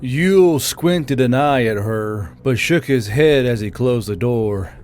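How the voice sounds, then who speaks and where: A man's voice: medium pitch, animated, takes it slowly, slight background noise. A man reading scripted fiction narration as the narrator of an audiobook. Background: soft background music.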